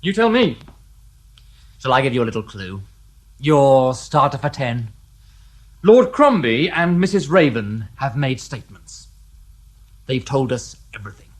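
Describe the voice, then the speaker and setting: An actor playing a sharp, sardonic male detective inspector in a radio drama, questioning in a dry, mocking way. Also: medium pitch, sing-song, unhurried, pauses often.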